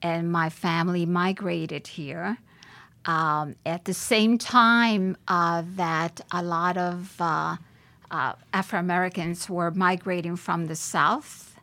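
An older woman from Puerto Rico talks about her life as a podcast guest, thoughtful and hesitant, often pausing and restarting. Her voice carries a gravelly fry. The recording is close and dry, with no room around it. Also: fairly low pitch, steady tone, bright, forceful tone, no echo. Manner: reflective, many hesitations and restarts. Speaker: judge, interview guest. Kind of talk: podcast interview.

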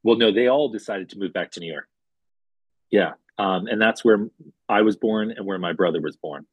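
A man in his forties from Hawaii tells his life story as an interview guest. His low, husky voice stays relaxed and chatty, with easy fillers, and the recording is close and dry. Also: normal speed, dry recording, dull audio. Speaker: guest, restaurant executive. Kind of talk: conversational storytelling.

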